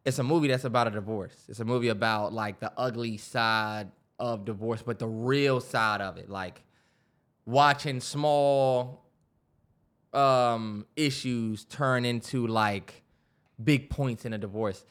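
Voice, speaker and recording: A man's voice: medium pitch, a little slow, a bit bright. An American man co-hosting a podcast, chatting casually with slangy, profane, emphatic phrasing. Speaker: podcast co-host. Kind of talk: casual chat.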